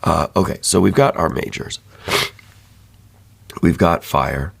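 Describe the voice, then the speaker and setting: A man with a hoarse voice, worn down by laryngitis, giving a soft, thoughtful ASMR tarot reading. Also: sits low, slow. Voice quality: hoarse, breaking into whispers. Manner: soft, thoughtful, with many fillers and repeats. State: losing his voice from laryngitis. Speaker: tarot reader.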